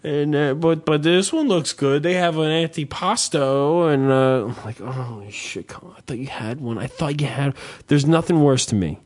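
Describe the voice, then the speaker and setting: A man's voice: a little high, normal speed, hardly any pauses, darkish tone. Profane ranting man in casual chat. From New Jersey. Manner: profane, ranting, voice rises when worked up.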